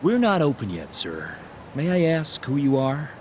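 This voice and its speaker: A man's voice: on the high side, huge pitch swings, moderate pace, slight background noise. A man playing a formal, stiffly polite and suspicious club staffer in a video game cutscene. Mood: politely guarded.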